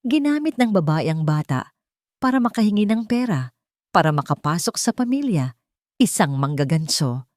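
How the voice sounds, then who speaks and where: A woman's voice: lowish voice, sing-song, moderate pace, a little mellow, no echo. Lady doing a snooty older rich mother, sly n scornful, insinuating, audio love drama, dry close sound. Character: haughty older matriarch, sly and scornful toward the poor.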